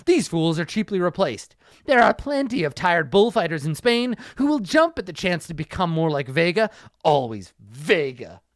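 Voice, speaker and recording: A smug ninja assassin villain with a high, sneering voice that swoops up and down as he gloats and mocks, put on by a man reading aloud. The delivery is slow and drawn out, and the sound is dry and close. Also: big pitch swings, no room sound. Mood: scornful. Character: smug ruthless male Spanish ninja assassin.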